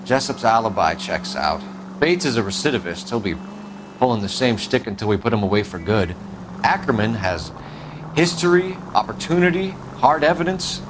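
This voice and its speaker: A man's voice: on the low side, animated, normal speed, background noise, close to an everyday voice. An actor playing an earnest, driven 1940s American police detective in a video game, firm and clipped as he presses suspects. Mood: deliberating. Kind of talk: dramatic game acting, interrogation.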